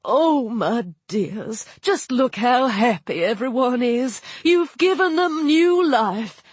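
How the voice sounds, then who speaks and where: A woman's voice: a little high, animated, slow pace, breathy edge, dry recording, exaggerated voice. A woman voicing a kindly, motherly female hippo goddess who works as a nurse, gushing and doting.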